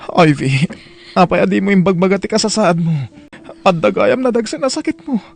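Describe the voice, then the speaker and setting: A man's voice: high-pitched, lively intonation, brisk, gravelly, heavily acted. A man playing an earnest, troubled grown family man with an Ilocano accent in a radio-style drama. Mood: urgent, upset. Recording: music behind the voice.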